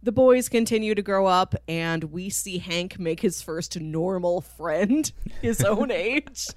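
A woman podcast co-host in casual discussion mode. She lays things out articulately in full sentences, at a middle pitch. The sound is dry and close, with no room on it. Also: expressive, slow, no echo. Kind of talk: casual pop culture discussion.

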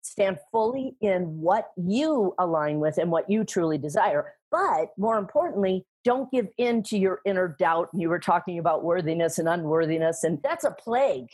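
A middle-aged woman talking about sales and speaking in an interview, animated and emphatic, laughing often. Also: lowish voice, normal speed, few pauses, husky. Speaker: guest, sales trainer and speaker. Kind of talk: conversational interview.

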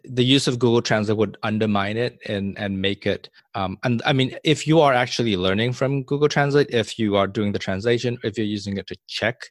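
A man co-hosting a discussion podcast, speaking in a measured way, weighing both sides with small restarts. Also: lowish voice, no room sound, studio quality. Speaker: podcast co-host. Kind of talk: casual discussion.

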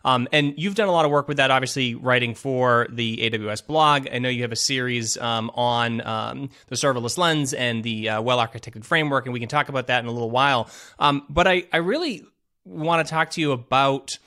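Man, podcast host, crisp bright tone, middle pitch, enthusiastic, filler-heavy. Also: brisk. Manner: enthusiastic, lots of ums and you knows. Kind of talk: casual podcast interview.

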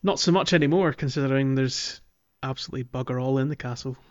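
A man's voice: medium pitch, quick pace, highs cut off. A man hosting a podcast, talking casually and reflectively about video games, with some hesitation as he goes. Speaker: podcast host. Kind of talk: casual video game discussion.

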